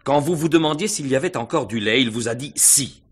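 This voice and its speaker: An actor playing a calm, methodical police inspector in a radio mystery, explaining in a measured, composed way. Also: male voice, medium pitch, slightly rough. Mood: methodical, certain. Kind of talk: French radio mystery drama acting.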